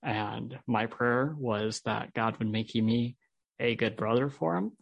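A man's voice: mid-range voice, slow pace, vocal fry, dry recording. A man with an American accent, a podcast guest telling his personal story in an interview. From Holland, United States.

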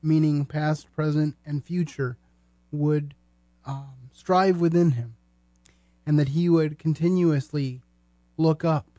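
A man hosting a podcast in an earnest, conversational style, speaking sincerely in measured short phrases. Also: fairly high pitch, warm tone, no room sound. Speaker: podcast host.

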